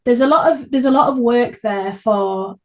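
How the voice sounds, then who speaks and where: A woman hosts a relaxed podcast conversation. Her voice sits a little higher than average, and she talks informally and with enthusiasm. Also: normal speed. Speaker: podcast host. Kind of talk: casual podcast interview.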